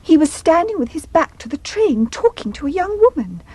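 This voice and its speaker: An actress playing a distressed, indignant young English wife, speaking with well-bred, plaintive drama in a radio farce. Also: female voice, extremely high pitch, big pitch swings, normal speed, dark tone, no room sound. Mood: aggrieved, dramatic. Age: young adult. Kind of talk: stage farce acting for radio.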